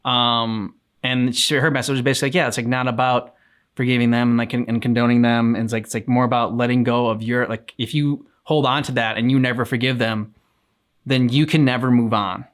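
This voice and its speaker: A man's voice: mid-range voice, little pitch movement, fairly quick, a bit bright. A man with a mid-range voice chats casually as co-host of a reaction show. He rambles and feels his way through each thought, often hesitating as he searches for the words. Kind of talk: casual chat.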